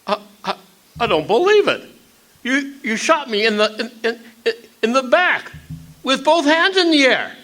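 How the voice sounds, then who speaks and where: A smug criminal mastermind from a noir radio spoof. His pitch is high and swoops widely, and he mocks his rivals with theatrical, drawling menace. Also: very animated, measured pace, pushed and bright, faint music behind, exaggerated voice. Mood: stunned. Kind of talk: stage radio drama acting.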